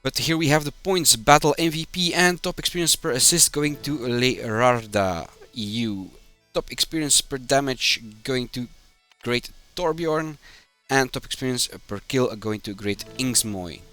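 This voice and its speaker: A man from Belgium, a native Dutch speaker with a Belgian Dutch accent, commentates a live game stream in halting, short phrases. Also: mid-range voice, bright, forceful tone, no echo, soft background music. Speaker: esports match commentator, community manager.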